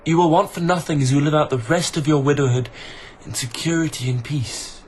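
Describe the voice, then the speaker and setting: High-voiced, grandiose young emperor played by an actor. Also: male voice, brisk, a little hiss or hum, close to an everyday voice. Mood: lofty, gracious. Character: young disguised emperor, grandiose, earnest and self-important. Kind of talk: radio drama acting.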